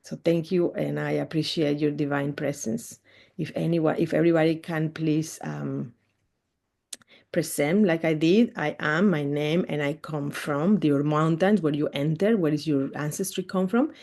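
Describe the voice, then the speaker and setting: Woman from Mexico hosting a spiritual group call; low, gravelly, mellow voice, earnest and unhurried. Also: fairly even intonation. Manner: slow, earnest, spiritual phrasing. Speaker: expedition guide.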